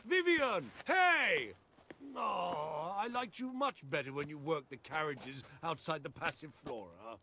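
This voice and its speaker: A man's voice: fairly high pitch, very animated, a little slow, bright, forceful tone, a little hiss or hum, heavily acted. An actor playing a belligerent, sneering man in a video game, taunting and insulting as he talks. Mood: contemptuous. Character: belligerent, sneering male former acquaintance, mocking. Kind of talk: video game character acting.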